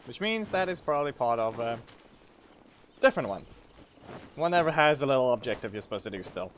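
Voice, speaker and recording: A man's voice: mid-range voice, very animated, a little fast, a little hiss or hum. A man doing casual live gameplay commentary, rambling and thinking aloud as he streams. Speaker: game streamer. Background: game music.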